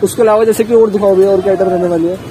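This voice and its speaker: A man's voice: high voice, steady tone, very fast, dark tone, a little hiss or hum, low-quality recording. A middle-aged man vlogging through a cramped market shop, eagerly showing products to his viewers. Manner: eager, repeats "ye dekhiye guys", upbeat. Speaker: vlogger touring a wholesale shop. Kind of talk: energetic product walkthrough.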